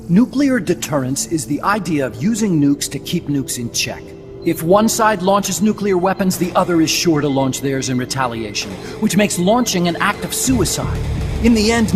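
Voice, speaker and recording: High-voiced lecturing scientist in echoing hall. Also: male voice. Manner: explanatory, lecturing, emphatic. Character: eager, talkative male weapons scientist explaining his machines. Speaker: weapons engineer character. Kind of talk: dramatic game cutscene dialogue. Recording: long reverberant hall, music underneath. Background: prominent background music.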